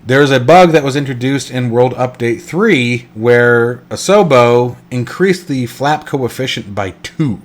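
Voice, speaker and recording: A congested man hosting a casual flight sim tutorial, rambling and correcting himself with lots of fillers. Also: mid-range voice. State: congested, stuffed up. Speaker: flight sim channel host. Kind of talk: casual screen-recorded tutorial.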